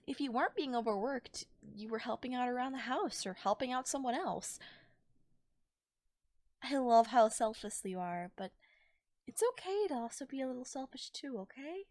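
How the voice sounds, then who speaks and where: Woman acting a tender, soothing girlfriend, high voice. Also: expressive, moderate pace, a little mellow, no echo, clean studio sound, lightly acted. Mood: soothing, concerned. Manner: tender, soothing, coaxing, breathy near-whispers at times. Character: caring, affectionate girlfriend comforting a tired partner. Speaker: voice actor. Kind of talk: soft intimate ASMR audio roleplay. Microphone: close microphone in a dry space.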